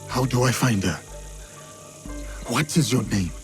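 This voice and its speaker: An actor playing a steadfast, gentle warrior man in a video game, speaking in a measured, earnest way. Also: mid-range voice, lightly acted. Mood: solemn, calm. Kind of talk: cinematic video game acting. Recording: background music under the voice.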